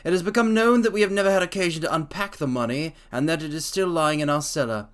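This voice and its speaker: A man voicing a solemn, fussy older bank director who speaks in a hushed, anxious, formal way. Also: fairly high pitch, quick pace, voice pushed up, natural voice.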